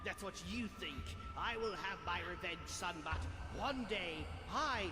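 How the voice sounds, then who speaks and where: A young, scheming pony student from a cartoon parody, acted out by a man. The voice is pitched high and sits under loud music. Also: lively intonation, unhurried, echoey room, big-room sound. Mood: vengeful. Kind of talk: comedic cartoon parody dub. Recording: loud music over the dialogue.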